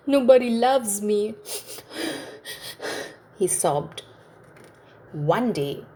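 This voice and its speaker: A woman narrates a storybook for young children. She speaks slowly and deliberately in a slightly low voice. Her delivery is expressive and gently instructive, as a storyteller hosting a read-aloud. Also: slight background noise.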